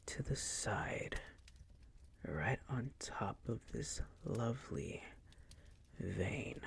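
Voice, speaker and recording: A man playing a seductive, possessive vampire noble who dotes on his servant, in an intimate ASMR roleplay with a breathy, gravelly, crooning whisper. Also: on the low side, a bit bright, heavily acted. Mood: seductive, teasing. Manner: slow, crooning, teasing, possessive.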